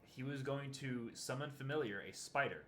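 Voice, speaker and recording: A man's voice: medium pitch, normal speed, a bit bright, slight background noise. A man running a tabletop game, chatting casually with his players after the session, laughing often and trailing off mid-sentence. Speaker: game master. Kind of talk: casual post-session chat.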